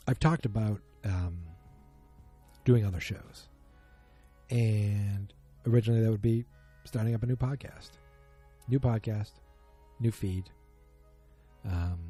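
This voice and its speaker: A man's voice: lowish voice, dark tone. A man hosting a solo podcast, chatting casually in a rambling, self-deprecating, joking way. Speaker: podcast host.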